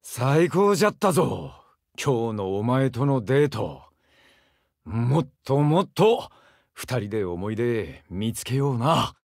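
An actor playing a brash, warm-hearted young seaman in a Japanese mobile game, with a southern Japanese dialect and a husky, gravelly, boisterous delivery. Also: mid-range voice, sing-song, slow pace, no room sound, studio quality, heavily acted. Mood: affectionate, earnest. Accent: southern Japanese dialect, Kagoshima-like. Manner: boisterous, rustic, swinging from bashful murmurs to hearty shouts. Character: brash, warm-hearted young muscular seaman, eager big-brother type.

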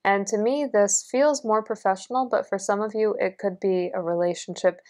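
A woman's voice: medium pitch, steady tone, normal speed, darkish tone. Encouraging woman reading tarot cards. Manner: earnest, encouraging, lots of fillers. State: losing her voice. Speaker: tarot reader. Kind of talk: pick-a-card tarot reading. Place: indoors at a table, only hands seen.

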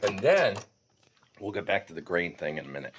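A man's voice: sits low, big pitch swings, fairly quick, background noise. A man in his forties vlogging casually while doing morning chores in a goat barn, rambling to his viewers, filmed on a handheld camera at arm's length. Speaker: farm vlogger. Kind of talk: casual vlog chat. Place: goat barn and farmyard outdoors.

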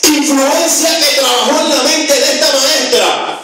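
High-pitched man preaching in a booming echo. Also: fairly even intonation, a little slow, bright, forceful tone, slight background noise. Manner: emphatic, repeats phrases for effect. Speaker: evangelist preacher. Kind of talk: sermon. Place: small church with hard walls, indoors. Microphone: handheld mic through PA, recorded by phone from afar. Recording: very echoey hall, distant phone recording, music.

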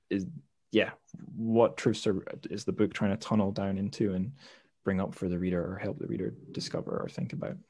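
A man's voice: lowish voice, mellow, no room sound. A man hosting a podcast interview, reading long, thoughtful questions with many restarts and self-corrections. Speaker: podcast host.

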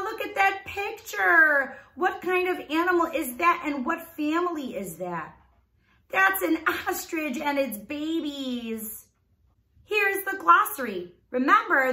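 A woman's voice: a little high, pushed and bright, echoey room. A woman reading aloud as a teacher to young children, enunciating carefully and prompting them with questions.

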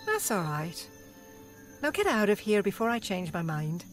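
A woman playing a calm, firm alien prison librarian in an amateur audio drama. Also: mid-range voice, moderate pace. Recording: reverberant hall with music.

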